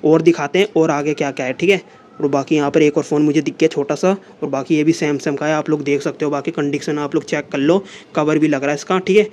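A man's voice: fairly high pitch, steady tone, fast talker, darkish tone, slight background noise. A young man vlogging casually to his phone camera in a small room, talking in a chatty way and repeating the same filler words over and over. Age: young adult. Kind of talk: casual vlog talk to camera. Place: small room indoors, then a seller's home.

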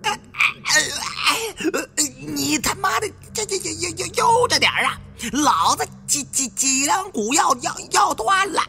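A man's voice: extremely high pitch, lively intonation, measured pace, few pauses, pushed and bright, soft background music, voice pushed up, heavily acted. A man voicing a brash, foul-mouthed, stammering male tomb raider in an audiobook. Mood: strained, protesting. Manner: heavy stutter, repeating syllables. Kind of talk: audiobook character acting.

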